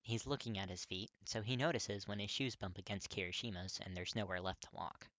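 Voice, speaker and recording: A man reading fiction aloud as scripted, expressive story narration. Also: lowish voice, a little fast, dry recording. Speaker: audiobook-style fan fiction reader.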